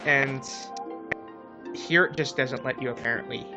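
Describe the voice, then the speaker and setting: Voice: mid-range voice, unhurried, vocal fry. A let's play co-host chatting casually about a game, often stammering at the start of sentences. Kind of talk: casual gaming commentary. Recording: dull lo-fi mic, music behind. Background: game music.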